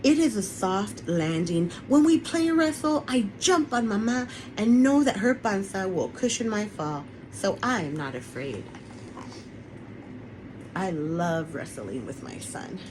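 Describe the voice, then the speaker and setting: A woman's voice: medium pitch, animated, unhurried, background noise. A woman who is an author, reading her children's picture book aloud on an online webinar recording. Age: adult, parent of a young son.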